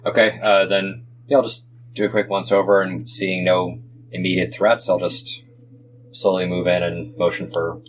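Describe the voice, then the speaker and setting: A man with a deep voice talks casually as a player at a tabletop role-playing game. He speaks through his character's moves in an offhand way, with clear ambient noise in the background. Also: level tone, moderate pace.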